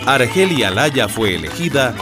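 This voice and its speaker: A man delivering measured, solemn scripted narration for a radio play in a declamatory way. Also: medium pitch, very animated, music in the background.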